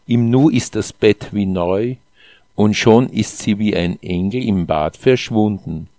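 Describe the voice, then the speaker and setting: Male narrator for scripted short prose; low register, unhurried and measured delivery, dry close sound. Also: mellow, no room sound. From Austria. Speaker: author reading own short texts.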